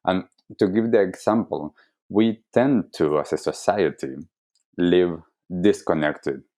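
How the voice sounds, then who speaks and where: A man with an Argentine accent giving reflective interview answers as a wellness coach, explaining deliberately and earnestly. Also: sits low, mellow. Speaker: wellness coach, podcast guest.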